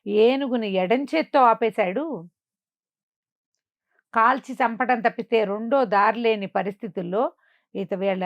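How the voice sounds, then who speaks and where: A woman's voice: medium pitch, dry recording, lo-fi sound, close to an everyday voice. Woman voicing an emphatic, emotional young woman. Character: earnest young woman companion, admiring and emotional.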